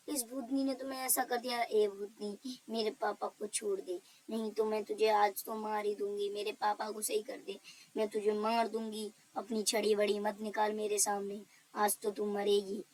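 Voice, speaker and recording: A woman's voice: medium pitch, little pitch movement, moderate pace, darkish tone, natural voice, rough recording. A woman voicing a brave, devout young daughter in a cartoon story, speaking insistently as she pleads and challenges. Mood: distressed. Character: brave, defiant, devout young daughter seeking her father.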